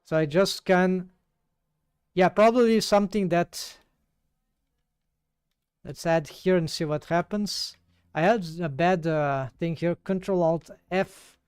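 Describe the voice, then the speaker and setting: Slow, halting Brazilian man, high voice. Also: darkish tone, clear, resonant tone, dry recording, clean studio sound, faint music behind. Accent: Brazilian Portuguese. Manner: thinking aloud, halting, many fillers and restarts. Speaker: 3D rigging streamer. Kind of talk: live tutorial while working.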